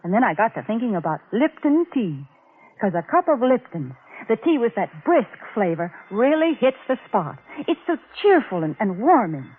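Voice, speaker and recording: Lively, high-voiced folksy 1940s radio spokeswoman. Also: moderate pace, husky. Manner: folksy, chatty, homey. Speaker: sponsor spokeswoman and co-host. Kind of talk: 1940s radio advertising pitch. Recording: tinny, band-limited old radio recording.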